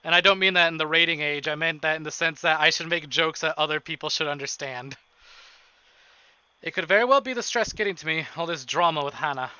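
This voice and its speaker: A man's voice: on the high side, bright, forceful tone, clear, resonant tone, no room sound, lo-fi sound. A man live-streaming a visual novel, reading it aloud dramatically with casual, joking, sweary asides, over music. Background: game music.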